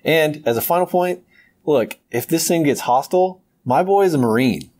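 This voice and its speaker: A man's voice: on the high side, lively intonation, moderate pace, a little mellow. A man co-hosting a podcast, arguing his pick in a casual debate with confidence and frequent laughs.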